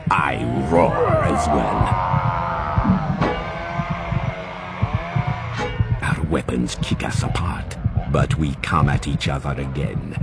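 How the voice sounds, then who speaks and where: An actor playing a savage, rage-driven warrior champion in an audio drama, with gravelly, menacing, intense delivery. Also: male voice, animated, unhurried, over loud music, exaggerated voice. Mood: menacing, fierce. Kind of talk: audio drama first-person narration. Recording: loud rumbling battle noise behind the voice.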